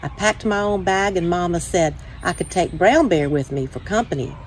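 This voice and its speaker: A woman with a somewhat low voice reads to children in a story time style. Her phrasing is measured and deliberate. A faint layer of background noise sits behind her. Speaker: church children's ministry director, story time host.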